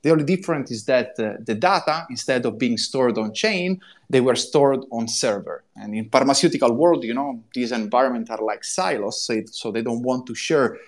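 A man's voice: medium pitch, moderate pace. A man in his thirties with an Italian accent, talking enthusiastically as a guest on a live audio room show and explaining his work step by step. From Italy. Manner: enthusiastic, explains step by step in non-native English. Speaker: guest, crypto consultant.

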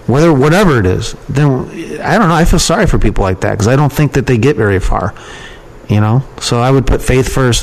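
A man speaks as an interview guest in a casual, conversational way. His delivery is informal and plain-spoken, with words often repeated for emphasis. The pitch sits in an ordinary middle range for a male voice. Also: a little mellow, poor audio. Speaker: podcast guest, email marketer and copywriting trainer.

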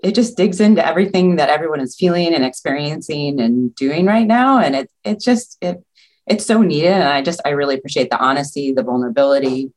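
A woman's voice: low-pitched, expressive, a little fast, a little mellow. A woman, a nursing leader, speaking as a guest on a video call, earnest and talking in long run-on thoughts. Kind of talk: casual interview chat.

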